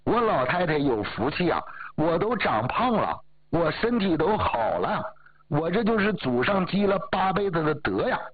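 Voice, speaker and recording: A man voicing a proud, grateful old village grandmother who brags about her daughter-in-law, his voice husky. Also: fairly high pitch, very animated, dry recording, voice pushed up. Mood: boastful. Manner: boastful, exclaiming, drawn-out sentence endings. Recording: tinny phone-like audio.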